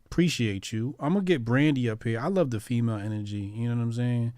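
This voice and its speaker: A man's voice: medium pitch, fast talker, dark tone. Teasing man hosting a chat room. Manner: playful, teasing, loose slangy talk. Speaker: host of a live audio chat room. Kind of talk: casual live chat hosting.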